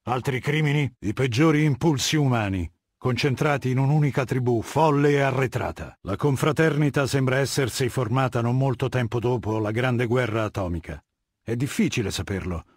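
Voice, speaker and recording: A man playing a husky, imperious warlord. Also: mid-range voice, no echo, clean studio sound, natural voice. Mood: disdainful. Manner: imperious, measured, lecturing, disdainful. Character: middle-aged male warlord dictator, cold, haughty and commanding. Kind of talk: video game character dialogue.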